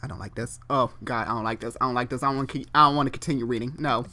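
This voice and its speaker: A mid-pitched host reads a story dramatically. The delivery stumbles over the text and keeps veering into ranting asides, exasperated and full of swearing. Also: steady tone, rapid-fire, bright, forceful tone. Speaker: host doing a dramatic reading.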